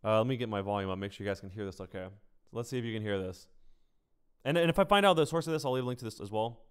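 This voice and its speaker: A man's voice: fairly low pitch, expressive, racing, smooth. A man giving casual livestream gaming commentary, speaking conversationally and directly to his viewers. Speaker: streamer and gaming news host.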